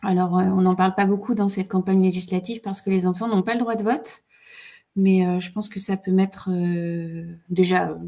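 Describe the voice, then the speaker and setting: Forties woman, political guest, halting casual talk, mid pitch. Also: steady tone, dark tone. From eastern France. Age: 40s. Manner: hesitant, many fillers, laughs easily. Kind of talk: casual chat on a live stream.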